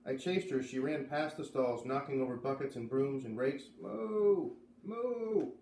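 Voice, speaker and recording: A man reading a children's novel aloud as an expressive storybook narrator. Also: mid-range voice, brisk. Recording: roomy, distant mic, faint noise.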